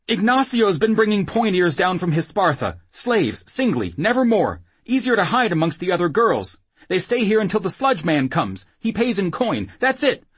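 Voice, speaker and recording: A man's voice: high-pitched, sing-song, moderate pace, slightly rough, no echo, pitched above the performer's own voice, full character voice. A man voicing a frightened brothel keeper in an audiobook, babbling breathlessly and pleading with feeble, sour defiance. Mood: breathless confession. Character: frightened whoremaster, rambling with feeble rage and foul-mouthed pleading.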